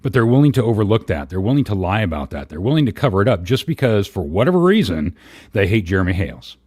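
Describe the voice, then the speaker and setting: A man's voice: lowish voice, sing-song, fast talker, darkish tone, no echo, clean studio sound. A man in casual livestream commentary, blunt and profane, rambling through his opinions.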